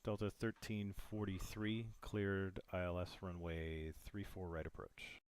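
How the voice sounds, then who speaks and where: A man playing an air traffic controller in a simulator, giving instructions in measured, formal radio phraseology. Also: sits low, level tone, darkish tone, clear, resonant tone.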